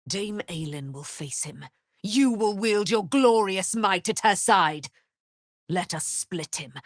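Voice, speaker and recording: A woman's voice: mid-range voice, a bit bright, no room sound, studio quality, heavily acted. A woman playing a proud immortal warrior, daughter of a moon goddess, in theatrical fantasy game style with grandiose, archaic diction. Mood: haughty resolve.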